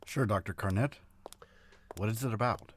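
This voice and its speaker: An actor playing a polite, inquisitive scientist at an institute in a pulp audio drama. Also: male voice, fairly low pitch, normal speed, natural voice. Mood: earnest, curious.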